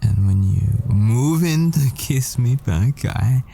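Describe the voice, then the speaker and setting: A man playing a tender, desperate boyfriend at the world's end in an intimate ASMR roleplay, murmuring breathily and haltingly. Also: mid-range voice, expressive, vocal fry, warm tone. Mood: tender, teasing.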